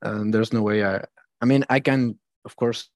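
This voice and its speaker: Brief: Argentinian male guest, Spanish native, somewhat low voice, halting answers, dry close sound. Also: steady tone, no echo. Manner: hesitant, lots of "uh, I mean", laughs often. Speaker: guest, metal vocalist. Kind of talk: casual interview answers.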